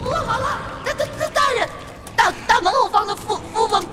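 Casting: tiny fawning monster lackey, very high-pitched, stammering, radio drama, echoey with loud music. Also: female voice, lively intonation, normal speed. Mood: panicked. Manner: heavy nervous stammer. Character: small timid fawning monster henchman. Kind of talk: fantasy radio drama acting.

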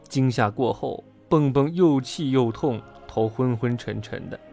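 A storyteller narrating a children's storybook in an expressive, dramatic way. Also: mid-range voice, unhurried, faint music behind.

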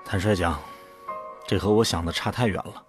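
A man's voice: low voice, moderate pace, faint music behind, close to an everyday voice. An actor playing an earnest man who volunteers for a drug trial and tells his own story in a science fiction radio drama. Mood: frank, uneasy. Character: male first-person narrator, anxious but resolute drug-trial volunteer. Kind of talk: Chinese sci-fi radio drama acting and narration.

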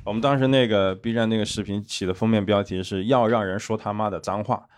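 A man's voice: fairly low pitch, fairly even intonation, quick pace. A man chatting as a podcast guest, telling stories emphatically and with energy. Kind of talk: casual chat.

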